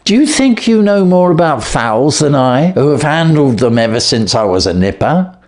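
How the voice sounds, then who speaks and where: A man voicing a surly, cocksure Victorian London poultry salesman in a storybook reading, gruff and blustering. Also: on the high side, big pitch swings, normal speed, a little mellow, voice pushed up. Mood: argumentative. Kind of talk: storybook character voice.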